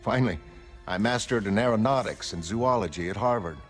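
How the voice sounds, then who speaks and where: An actor playing a mild, self-doubting middle-aged American retired crime fighter in a motion comic, talking in a hesitant, reflective way. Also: male voice, on the low side, fairly quick, soft background music, close to an everyday voice. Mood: earnest, matter-of-fact. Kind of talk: dramatic motion comic character acting.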